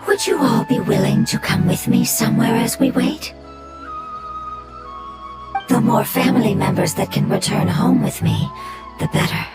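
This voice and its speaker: A woman voicing an ancient, sorrowful non-human being in a video game, calm, solemn and formal. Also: on the high side, moderate pace, pauses often. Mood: earnest. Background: game music.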